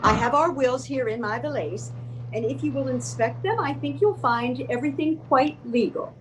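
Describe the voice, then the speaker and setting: A woman's voice: high voice, moderate pace, slight background noise, close to an everyday voice, rough recording. A woman playing a determined, eccentric old lady in a comic readers theater piece, speaking in a formal, matter-of-fact, bossy way. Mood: businesslike. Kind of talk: comedic readers theater acting.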